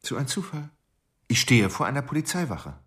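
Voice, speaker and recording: An actor playing a coolly obsessive murderer who confides his plans deliberately in a radio drama monologue. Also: medium pitch, big pitch swings, a bit bright, slightly rough. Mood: resigned, confessional.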